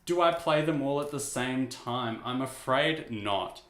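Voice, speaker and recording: A man with a low speaking voice talks in a relaxed, rambling way, joking at his own expense and dropping filler words as he goes. His voice carries a noticeable echo from the room around him. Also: measured pace. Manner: easygoing, rambling, self-deprecating, many "you know" and "uh" fillers. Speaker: VTuber streamer. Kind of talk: casual chat to stream viewers while restringing a guitar.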